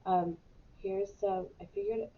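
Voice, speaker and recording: A woman's voice: medium pitch, level tone, a little slow, highs cut off, a little hiss or hum. A woman in her thirties with a stuffy cold, chatting casually as host of a weekly live show, rambling with many fillers. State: congested with a cold. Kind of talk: casual live-stream chat.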